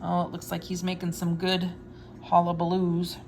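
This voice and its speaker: Low-voiced, gravelly woman reading aloud. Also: noisy background. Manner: expressive, unhurried reading with wry offhand commentary. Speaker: storyteller reading a picture book aloud. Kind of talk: read-aloud storytime with casual asides.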